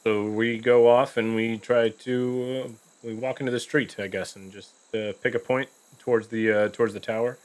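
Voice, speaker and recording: A man's voice: medium pitch, fairly even intonation, normal speed, hardly any pauses, a little mellow, rough recording. A man playing a tabletop roleplaying game, tentatively thinking aloud in casual discussion.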